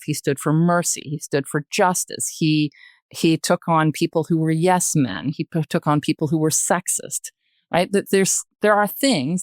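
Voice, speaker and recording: A woman's voice: sits low, no room sound, studio quality. An older woman in a reflective podcast discussion, speaking emphatically in searching phrases and often checking in with "right?". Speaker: podcast guest, retired journalist.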